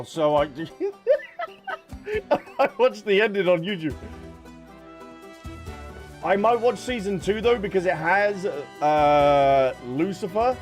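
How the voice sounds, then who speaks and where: A man streaming a game, chatting casually and thinking aloud as he answers viewers. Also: fairly high pitch. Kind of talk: casual livestream chat while gaming. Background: background music.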